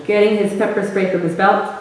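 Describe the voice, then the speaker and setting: A woman's voice: medium pitch, flat delivery, a little fast. A woman hosting and narrating a staged reading with scripted stage narration. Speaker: narrator and audience host. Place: theater hall, recorded from the audience. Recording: roomy, distant stage recording with faint noise.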